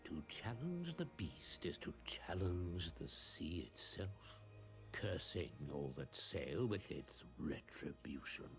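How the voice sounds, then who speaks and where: An actor playing an ominous storyteller of pirate sea legends in dramatic, gravelly game narration over music. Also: male voice, sits low, sing-song, slow, heavily acted. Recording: game cutscene with loud music.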